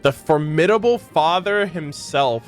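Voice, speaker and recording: A man hosting a game reaction video, casual and excitable, with slangy, exclamatory commentary. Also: fairly high pitch, unhurried, faint music behind.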